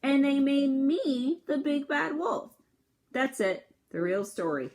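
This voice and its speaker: A woman's voice: high voice, sing-song, a little mellow, voice pushed up, full character voice. A woman voicing an aggrieved wolf who excuses himself in a folksy, insistent way, reading a children's picture book aloud. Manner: folksy, insistent, drawn-out emphatic storytelling. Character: aggrieved, self-excusing wolf telling his side of events.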